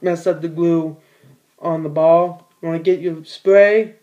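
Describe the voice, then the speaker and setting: Rambling teen guy with a mellow voice. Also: a little high, fairly even intonation, measured pace, muffled recording. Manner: rambling, plain-spoken, many fillers and restarts. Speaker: bowler demonstrating ball cleaning. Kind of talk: casual how-to vlog. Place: small room indoors at home.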